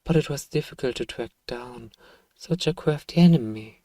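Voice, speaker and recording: A man playing a self-righteous evil emperor, softly and coaxingly telling a bedtime story to a child in an ASMR roleplay. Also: mid-range voice, moderate pace, dry recording, lightly acted. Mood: smug soothing.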